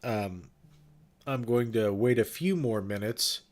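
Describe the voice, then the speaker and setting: A man with a low-set, congested voice, audibly nasal from a stuffy nose. He talks to his stream viewers in a loose, rambling way, drifting between thoughts with plenty of ums and restarts. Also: slow pace. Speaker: livestream host and ghost-hunting event organizer. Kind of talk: casual livestream chat with viewers.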